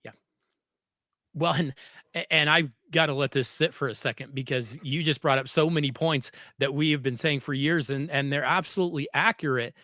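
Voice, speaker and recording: A man's voice: fairly high pitch, normal speed, bright, forceful tone, no echo, studio quality. A man hosting a conversational interview, emphatic and repeating phrases to stress his points. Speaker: podcast host, former coach.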